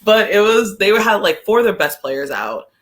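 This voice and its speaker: Bright, forceful man talking soccer casually. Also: high-pitched, big pitch swings. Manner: rambling, halting, self-correcting. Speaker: sports podcast co-host.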